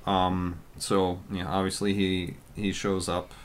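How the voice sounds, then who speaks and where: Loud deep-voiced man rambling on a podcast. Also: flat delivery, slow. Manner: loud, rambling with many fillers. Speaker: podcast co-host. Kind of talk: casual podcast chat.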